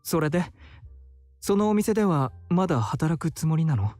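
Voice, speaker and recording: A man's voice: on the high side, sing-song, fast, darkish tone, no room sound, faint music behind. An actor playing a teasing, effeminate, sociable male bar worker with breezy feminine phrasing in a Japanese visual novel. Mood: friendly.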